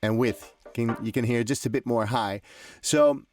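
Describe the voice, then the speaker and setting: A man's voice: mid-range voice, normal speed. A man hosting a music production tutorial, explaining informally as he demonstrates, in loose, conversational and often hesitant phrasing. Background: electronic drum and synth loops.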